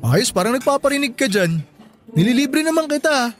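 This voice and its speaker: Isang lalaking aktor na gumaganap bilang mapagbiro at maalagang binatang matalik na kaibigan sa dramang panradyo. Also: male voice, very high voice, normal speed. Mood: playful, teasing. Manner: playful, animated banter. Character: teasing, devoted young male best friend. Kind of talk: Tagalog radio drama dialogue, conversational. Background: music.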